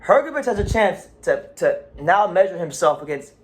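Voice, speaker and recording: A man hosting a casual boxing talk show, talking in an animated way with frequent restarts and repeated words. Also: sits high, normal speed. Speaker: boxing channel host.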